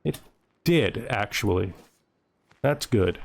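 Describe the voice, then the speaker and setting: A man's voice: on the low side, animated, slow, creaky. A man hosting a gaming video, thinking aloud in casual commentary and playfully gloating with in-character asides.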